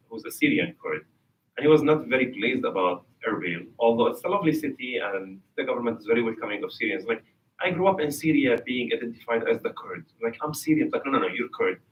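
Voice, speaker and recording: A man's voice: fairly low pitch, a bit bright. A man on a panel who tells anecdotes and acts out bits of dialogue. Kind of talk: panel discussion. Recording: distant mic.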